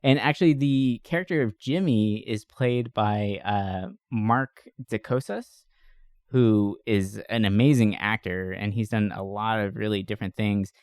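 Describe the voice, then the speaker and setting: A man's voice: fairly low pitch, a little slow, darkish tone, smooth, no room sound, clean studio sound. A man co-hosting a podcast in an enthusiastic, informal chat, swearing casually. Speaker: podcast co-host. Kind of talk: casual chat about movies.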